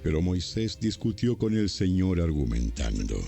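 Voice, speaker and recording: Deep voiced guy narrating an audio bible, slow and solemn, lots of up and down in his reading, bit of gravel. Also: big pitch swings, mellow, faint music behind.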